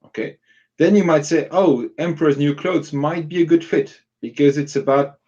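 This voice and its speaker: A mellow-voiced man talks through workshop advice in an informal online session. He explains step by step and voices example questions as he goes. The audio is slightly dull and lo-fi, like an online call. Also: fairly high pitch, normal speed. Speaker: workshop facilitator giving advice. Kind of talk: informal live online discussion.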